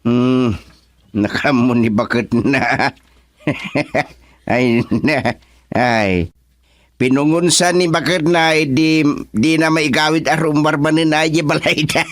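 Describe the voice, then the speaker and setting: A jovial village official in a radio comedy drama. His voice sits a little high, and he talks in an animated, boastful, colloquial manner full of interjections. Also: takes it slowly. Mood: amused.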